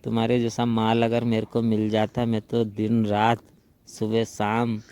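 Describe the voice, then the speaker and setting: A man's voice: on the low side, completely flat delivery, a little slow, warm tone, smooth, close to an everyday voice. A man playing a chatty, flirtatious unmarried brother-in-law in a staged phone-call role-play, talking in a casual, rambling, gossipy way. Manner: conversational, gossipy, rambling storytelling.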